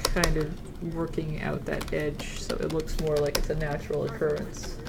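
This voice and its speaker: A woman's voice: low voice, level tone, moderate pace, gravelly, over loud music. A young woman talking casually through a digital painting live stream, thinking aloud as she works.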